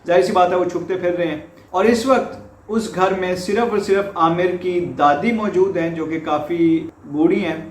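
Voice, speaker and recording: Male news presenter, Pakistani accent, high register, explanatory and emphatic through repetition, roomy echoing sound. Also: normal speed. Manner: explanatory, repeats points for emphasis. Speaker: host presenting a news report. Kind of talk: explanatory news commentary to viewers. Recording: echoey room.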